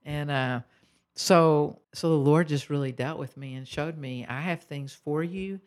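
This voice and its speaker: A woman's voice: low voice, unhurried. A woman, a guest author, sharing her faith story in an earnest, filler-laden conversational way. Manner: earnest, testimonial, many fillers.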